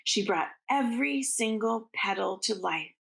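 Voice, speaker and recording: A woman's voice: fairly high pitch, lively intonation, unhurried, gravelly, a bit bright. A woman reading a storybook aloud to children like a schoolteacher, in an informal way. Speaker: teacher reading aloud to students.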